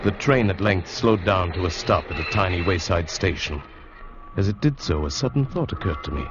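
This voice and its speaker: An animated, proper English gentleman traveller. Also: deep voice, normal speed, background noise. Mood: reflective, uneasy. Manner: formal, polite, storytelling. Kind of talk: 1940s radio drama acting and narration. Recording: dull old radio recording with loud music.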